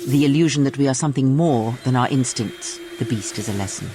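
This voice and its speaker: A man's voice: medium pitch, brisk, dark tone, faint music behind, close to an everyday voice. A man voicing an ancient, mysterious mentor spirit in a radio drama, speaking in a solemn, oracular way. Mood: grave.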